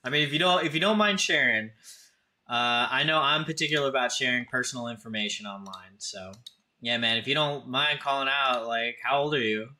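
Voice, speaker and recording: Very bright-voiced man chatting casually. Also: medium pitch, brisk. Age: 30s. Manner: informal, conversational, addresses chatters directly. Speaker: live streamer, host. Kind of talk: casual livestream chat with viewers.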